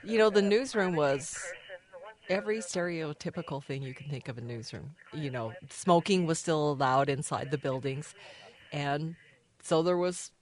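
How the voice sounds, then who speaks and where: A man's voice: fairly high pitch, moderate pace. A man who worked in a newsroom, reminiscing conversationally in an interview. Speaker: former newsroom staffer being interviewed.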